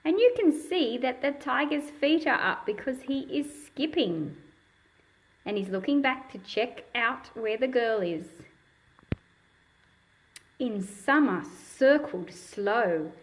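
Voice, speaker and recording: A woman's voice: a little high, expressive, slow pace, low-quality recording. A woman reading a picture book aloud to young children and explaining it in simple words, in a patient, teacherly manner.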